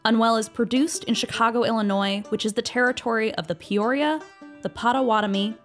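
A woman's voice: fairly high pitch, a little fast, faint music behind. A woman, an executive producer, reading a formal show announcement.

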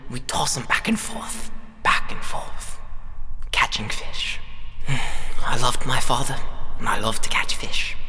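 Cast: a man doing a meandering funeral pastor, piercing bright tone, long hall echo. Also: mid-range voice, takes it slowly. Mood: rambling earnest. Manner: meandering, swings between loud and whispered. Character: rambling small-town male pastor giving a eulogy. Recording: reverberant hall with music underneath.

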